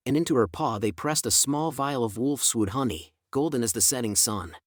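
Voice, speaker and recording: A man's voice: mid-range voice, moderate pace, no echo, studio quality. A man narrating a scripted fantasy story in a dramatic, ornate storyteller manner. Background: music.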